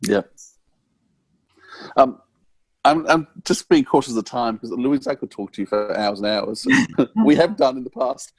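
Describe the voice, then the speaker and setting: A man hosting a podcast interview, talking earnestly and haltingly as he searches for words. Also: medium pitch, expressive, rough recording. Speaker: podcast host.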